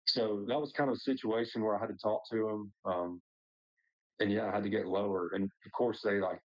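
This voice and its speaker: A man's voice: lowish voice, fairly even intonation, brisk, darkish tone, lo-fi sound. A man talking casually as a pilot sharing experience, in loose, hesitant phrasing sprinkled with "like". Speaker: pilot, discussion participant. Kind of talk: casual personal storytelling.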